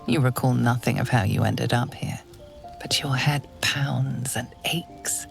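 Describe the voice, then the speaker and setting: A woman playing an ominous, all-knowing narrator of a dark fantasy game, grave and theatrical. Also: rumbling low, measured pace, vocal fry, faint music behind, full character voice. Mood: grim, ominous. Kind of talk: second-person scripted game narration.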